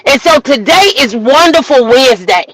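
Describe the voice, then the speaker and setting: A woman minister hosting a livestream, giving an emphatic, preacherly motivational talk that at times rises to shouts. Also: sits high, lively intonation, moderate pace, rough recording. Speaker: minister and host. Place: outdoors walking in the rain.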